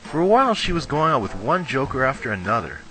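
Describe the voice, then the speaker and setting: A man's voice: medium pitch, sing-song, fast, pushed and bright, faint music behind, low-quality recording. A young man playing a cocky, gossipy, girl-crazy schoolboy in an amateur anime dub, talking in emphatic slang. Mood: advising.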